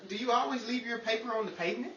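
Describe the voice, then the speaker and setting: A woman's voice: a little high, very fast, fairly bright tone, muffled recording. A woman playing Henry, an eager, chummy, inquisitive male would-be actor, in 1940s radio comedy style. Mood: curious, chummy. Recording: noisy echoey room, distant mic, clicks.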